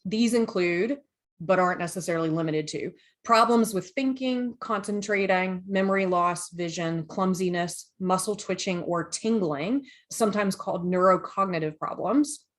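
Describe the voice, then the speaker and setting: A woman's voice: on the low side, normal speed. Female podcast host from the US, friendly and enthusiastic, casual delivery. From United States. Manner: chatty, enthusiastic, lively asides. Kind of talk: casual podcast chat, reading notes.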